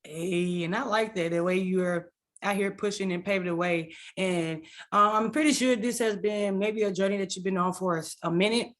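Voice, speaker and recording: A woman hosting a podcast with lively, energetic talk that repeats phrases and leads a prayer. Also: medium pitch, normal speed. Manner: energetic, hyped, repeats phrases, stumbles on names. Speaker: podcast host.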